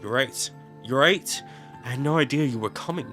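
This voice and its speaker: A man playing an anxious, grateful mayor in a game story, speaking earnestly and haltingly. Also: medium pitch, sharp tone, soft background music, pitched above the performer's own voice, natural voice. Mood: astonished. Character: anxious, grateful male mayor of a dream village.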